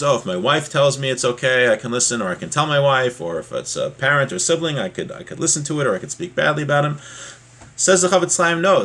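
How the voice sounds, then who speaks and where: A man's voice: medium pitch, a bit bright. Man teaching an informal religious class. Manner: plain-spoken, conversational, explanatory asides. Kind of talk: informal lesson, reading a text aloud and explaining it.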